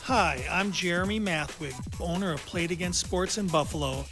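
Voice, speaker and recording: High-voiced American man reading radio ad. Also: moderate pace, pushed and bright. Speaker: shop owner in an ad. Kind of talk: scripted radio advertisement. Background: light music.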